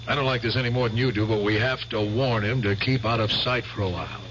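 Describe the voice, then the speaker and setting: An actor playing an anxious former prisoner of war in a 1970s radio drama, urgent and pressing. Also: male voice, lowish voice, steady tone, fast talker, a bit bright, close to an everyday voice. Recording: dull old radio broadcast with hiss.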